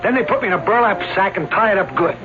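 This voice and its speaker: An actor in a radio drama playing a boastful, stubborn aging escape artist who talks in brash slang. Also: male voice, high-pitched, rapid-fire, lightly acted. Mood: confident. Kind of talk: old-time radio drama acting. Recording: dull radio recording, loud music.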